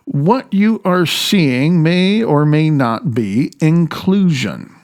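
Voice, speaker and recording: A man from the United States reading his own book as an author, in a halting, opinionated way with loose spoken asides. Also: medium pitch. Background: faint music.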